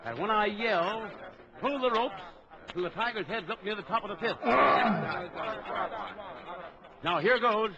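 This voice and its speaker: An actor playing a bold big-game trapper in an old children's adventure record, briskly instructing and giving orders. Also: male voice, high-pitched, lightly acted. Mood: urgent, resolute. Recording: dull old record with background crowd noise.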